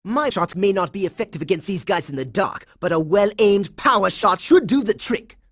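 A man's voice: high voice, expressive, moderate pace. Cartoon game kid hero, bossy boy agent, smug and dramatic, voice swings all over the place. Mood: determined, musing. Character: bossy, heroic boy secret agent, confident kid leader. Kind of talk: cartoon video game voice acting.

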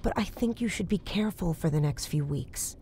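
A low, mellow-voiced psychic acted by a woman confides hesitantly, earnest and uneasy. It is naturalistic horror audio drama, with a slight noise behind her. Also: expressive, lightly acted. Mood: earnest, concerned. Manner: hesitant, halting. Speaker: voice actor.

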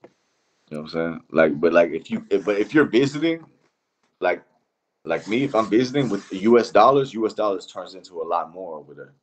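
Deep-voiced Iranian-born man, halting and slangy. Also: animated, rough recording. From Iran. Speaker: interview guest. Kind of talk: casual chat.

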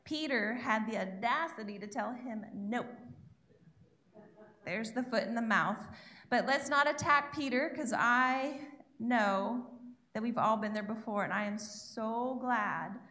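A woman's voice: fairly high pitch, unhurried, pushed and bright. A young woman giving a sermon from her notes on a church stage, chatty and self-deprecating, speaking into a handheld mic. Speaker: guest preacher. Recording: echoey church room.

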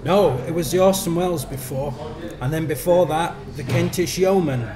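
A man's voice: on the high side, normal speed, a crowd in the background, lightly acted. A man reading the part of a chatty young pub bartender in a short story, telling a local legend in a friendly, matter-of-fact way over music. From England. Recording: music playing behind.

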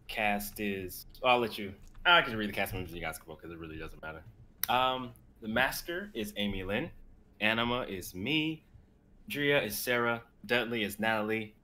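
A man's voice: on the low side, expressive, moderate pace, bright, forceful tone. A man in his twenties talking casually with friends on a video-call livestream. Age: 20s. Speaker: member of a script-reading group. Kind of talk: casual group chat on a livestream. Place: indoors at home, video call. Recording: noisy video call audio.

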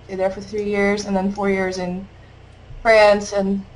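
A young Dutch woman talks casually and quickly, full of fillers and little laughs, her English carrying a mostly American accent. She sits in a small home studio and speaks into a webcam on a video call, with a faint hiss of noise and room ambience behind her. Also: mid-range voice, completely flat delivery, poor audio. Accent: American-leaning English. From Netherlands. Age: 20s. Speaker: guest artist. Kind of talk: casual interview conversation.

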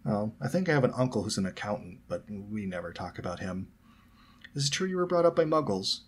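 A man voicing an awkward, curious young schoolgirl in a story, blunt yet apologetic, in halting casual speech. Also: on the high side, steady tone, pitched above the performer's own voice, natural voice.

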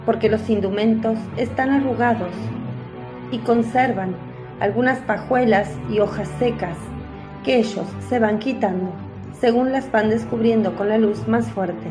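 A woman's voice: on the high side, a little slow, music in the background. A woman reading scripted narration for a biblical radio drama.

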